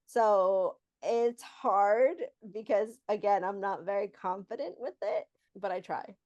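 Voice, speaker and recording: A woman co-host with a middle-pitched voice talks casually and laughs easily. Her mic is close and dry, with no room sound. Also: slow, a little mellow, no echo, muffled recording. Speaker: podcast co-host. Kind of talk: casual podcast chat.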